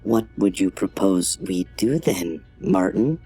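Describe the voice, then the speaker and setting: A man voicing a formal, emotionless artificial intelligence in a sci-fi audio drama, polite and measured. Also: low voice, sing-song, dark tone, breathy edge, faint music behind, full character voice. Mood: polite, unmoved. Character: polite, detached trauma-assistance artificial intelligence serving its company.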